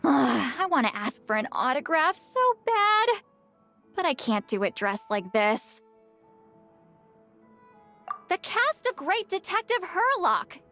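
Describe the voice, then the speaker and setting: A high, swooping young female voice over soft music. It is an earnest, expressive video game character, with every line animated. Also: takes it slowly, long pauses, exaggerated voice. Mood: whiny, flustered.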